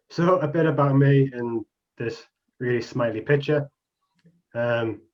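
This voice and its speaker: Young Geordie man, casual researcher presenting online, ums a lot; dull lo-fi call audio. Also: medium pitch. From Newcastle, England. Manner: casual, chatty explaining with frequent ums. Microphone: video call. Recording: muffled video call audio.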